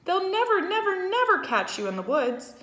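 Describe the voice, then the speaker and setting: A woman voicing a bossy female farm goose who talks fast and repeats her words, in an echoey room. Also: a little high, sing-song, a bit bright, voice pushed up, exaggerated voice. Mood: urgent.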